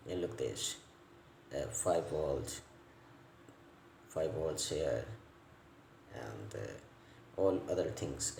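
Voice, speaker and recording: A man giving an unscripted hands-on repair tutorial as a technician, speaking non-native English in halting, piecemeal phrases and repeating words. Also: very deep voice, creaky, a little mellow. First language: not English. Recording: roomy echo, faint background noise.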